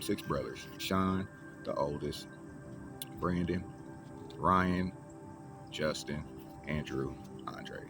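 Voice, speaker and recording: A young man telling his life story in a rambling, profane, casual way, with many restarts. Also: very deep voice. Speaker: vlogger. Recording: loud music playing behind the voice.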